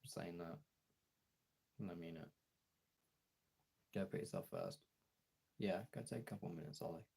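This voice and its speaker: A very low-voiced man talks casually and personally, as a streamer does to viewers. His voice is husky and full of fry, and his delivery is halting and candid, with frequent swearing. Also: warm tone. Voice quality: gravelly, husky.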